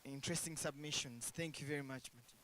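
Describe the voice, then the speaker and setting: A man moderates a session in a polite, measured way, thanking the speakers and picking up their points. His voice is mid-pitched, with a faint hiss. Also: fairly bright tone, low-quality recording. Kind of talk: moderating a panel discussion.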